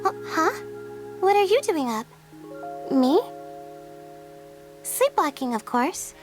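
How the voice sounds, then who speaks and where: A woman voicing a sleepy, dreamy young female student who talks in a drowsy, soft, wistful way, with music under her. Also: extremely high pitch, sing-song, slow pace, full character voice. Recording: game clip over loud music.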